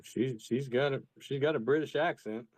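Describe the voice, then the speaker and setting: A man's voice: mid-range voice, moderate pace, a little mellow, no room sound. A man in an online audio chat, speaking casually and asking questions.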